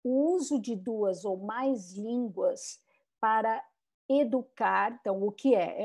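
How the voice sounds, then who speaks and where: A woman's voice: on the high side, mellow. A woman giving an online talk on bilingual education, reflective and full of rhetorical questions and tag questions. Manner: frequent "né?" tags and restarts.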